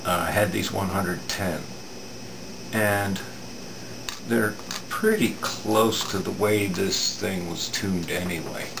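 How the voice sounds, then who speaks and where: Slow, deep, gravelly man at a workbench. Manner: halting, matter-of-fact. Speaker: electronics repair demonstrator. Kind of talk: unscripted hands-on repair demonstration. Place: indoor home electronics workbench. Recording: steady room hum, air-conditioning noise.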